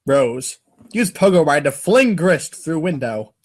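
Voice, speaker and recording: Male comic narrator, slightly high register, theatrical and mock-grave, reading script commands. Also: very animated, unhurried, darkish tone. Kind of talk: comedic readers' theater narration.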